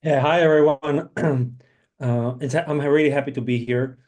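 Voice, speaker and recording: A man's voice: mid-range voice, fairly even intonation, unhurried, darkish tone. A middle-aged man talking on a webinar panel in a loose, conversational way, with frequent fillers. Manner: conversational, frequent "you know" and "um". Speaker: impact investor, panelist. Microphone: video call.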